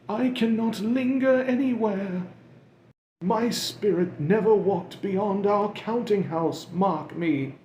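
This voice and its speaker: A wailing old ghost weighed down by chains, as a man puts him on for a storybook reading. His voice rises high and strained, stretched slow with grief. It rings in an echoey room. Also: mellow, a little hiss or hum.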